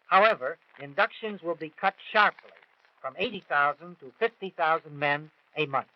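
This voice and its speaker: A man's voice: on the high side, normal speed, no room sound. An American man reading news items as a 1940s radio reporter. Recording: dull vintage 1940s radio broadcast.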